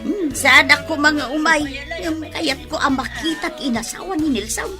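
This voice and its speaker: A gossiping, fault-finding auntie played by a woman speaks in a very high, snippy voice with an Ilocano Filipino accent. Music plays clearly behind this radio drama character. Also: moderate pace, natural voice. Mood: curious. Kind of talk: Philippine radio drama acting.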